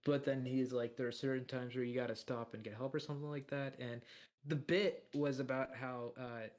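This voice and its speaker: A man with a deep voice co-hosting a podcast, rambling in casual chat with lots of fillers and restarts. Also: level tone, moderate pace, darkish tone. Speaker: podcast co-host.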